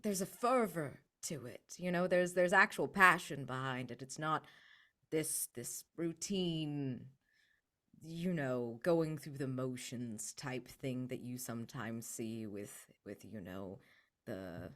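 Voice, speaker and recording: A man's voice: medium pitch, slow pace, pushed and bright. A man voicing a sincere, nostalgic devotee of sacrifices in a tabletop game, musing effusively in a conversational way. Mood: nostalgic, fervent.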